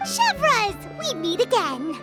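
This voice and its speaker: A woman voicing a small, chirpy, excitable fairy companion in a video game, curious and childlike. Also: extremely high pitch, slow pace, exaggerated voice. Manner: speaks of self in third person, exclaiming. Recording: music playing under the voice.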